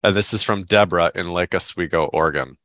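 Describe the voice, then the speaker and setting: A stuffed-up man with a low voice, chatting easily as a podcast co-host. He rambles along with enthusiasm. The sound is close and dry, with no room around it. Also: fast, a bit bright, no echo. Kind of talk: casual chat.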